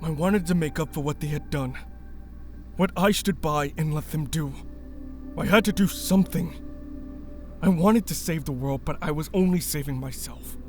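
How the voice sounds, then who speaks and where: A man's voice: fairly high pitch, moderate pace, darkish tone, soft background music, natural voice. A man playing an earnest, guilt-ridden former cult member turned rebel in a sci-fi audio drama. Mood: remorseful, confessional.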